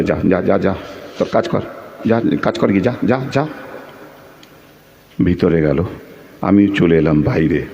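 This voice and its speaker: A low-pitched actor playing a lovesick, brooding man narrates an audio play. He is theatrical and emotional, with a quick temper under the longing. There is audible noise behind him. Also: a bit bright. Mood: angry. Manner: theatrical, emotional, with repeated pleading phrases. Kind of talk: dramatic first-person narration of a Bengali audio play.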